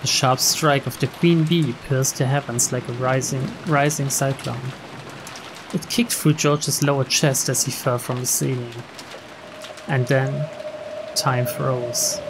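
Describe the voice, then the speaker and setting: A man reading dramatic scripted narration from a visual novel, with loud game music behind him. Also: medium pitch, steady tone, takes it slowly, background noise. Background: loud background music.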